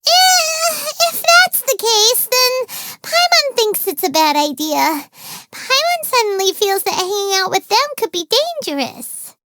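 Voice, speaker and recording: A woman voicing a tiny childlike fairy companion in a video game, squeaky, bubbly, boastful and naive. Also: unhurried, few pauses, heavily acted. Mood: earnest. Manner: refers to self in third person, exclamatory, excitable. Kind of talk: video game character voice lines.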